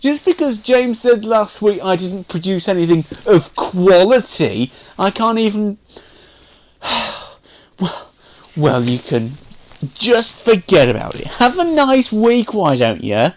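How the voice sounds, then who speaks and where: Guy playing a moany fed up reporter bloke in a comedy skit, whiny, draggy slow talking. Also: high-pitched, sing-song, slight background noise, heavily acted. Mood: grumpy, exasperated. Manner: whiny, sighing, complaining. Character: grumpy, put-upon male correspondent banished to an attic. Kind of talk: comedy sketch piece to camera.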